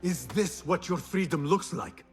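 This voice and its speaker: An actor playing a principled young assassin in a video game, serious and measured. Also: male voice, fairly high pitch, animated, faint music behind. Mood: reproachful. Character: earnest, principled young male assassin of a secret order. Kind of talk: video game cutscene acting.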